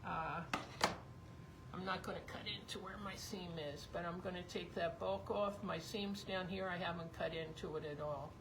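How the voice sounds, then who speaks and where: A woman teaching sewing live, chatty and rambling, thinking aloud as she works, with her voice going hoarse. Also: on the low side, fairly even intonation, moderate pace. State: losing her voice. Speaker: sewing instructor. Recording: noisy background.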